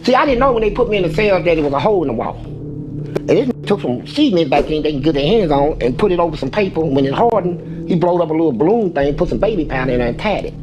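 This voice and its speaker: A man casually retelling a prison story in a profane, streetwise, animated way. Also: a little high, fast talker, highs cut off. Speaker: former inmate storyteller.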